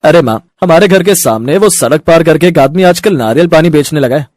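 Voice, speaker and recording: A man's voice: a little high, animated, a little fast, darkish tone, no room sound, clean studio sound, close to an everyday voice. A man voicing an easygoing, supportive young husband and son in a cartoon story. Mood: agreeable. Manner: chatty, agreeable. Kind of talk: Hindi cartoon moral story.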